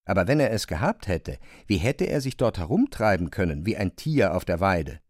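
A man's voice: sits low, very animated, normal speed, no room sound, studio quality. A man reading scripted literary narration for a radio play.

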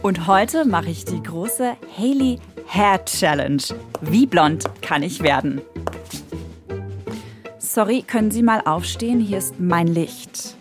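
An actress playing a brash, entitled young livestream influencer, slangy, affected and pushy, in a radio play. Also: female voice, fairly low pitch, lively intonation, takes it slowly, music in the background. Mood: showy, self-absorbed. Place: radio drama studio.

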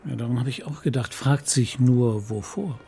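An actor playing a tactful, sceptical police detective in a radio crime drama, measured and politely insistent. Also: male voice, medium pitch, creaky, mellow, husky, faint music behind. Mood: curious, pensive. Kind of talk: understated radio play acting.

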